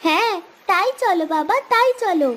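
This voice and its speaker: Eager little cartoon girl, voiced by a woman, extremely high, childlike, trusting, faint background noise. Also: expressive, normal speed, fairly bright tone. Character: eager, trusting little village girls devoted to father. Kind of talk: Bengali cartoon voice acting. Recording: noisy ambience behind the voice.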